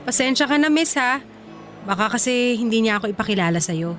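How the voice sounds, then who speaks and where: A woman's voice: on the high side, animated, moderate pace, a bit bright, soft background music. An actress playing a sassy, jealous young single mother with a Filipino accent in a radio drama. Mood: sassy, taunting. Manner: taunting banter with sarcastic edge.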